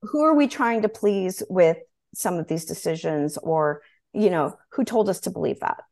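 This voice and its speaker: Casual, lower-voiced woman in her forties. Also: expressive, normal speed, vocal fry, darkish tone. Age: 40s. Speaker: podcast host. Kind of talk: casual chat.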